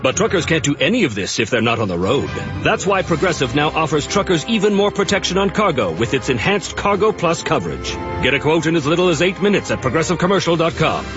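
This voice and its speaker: Male ad voice, wry and animated, higher pitch, music bed, dull radio audio. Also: fast talker, hardly any pauses. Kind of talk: comedic radio ad read. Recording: radio ad over clear music.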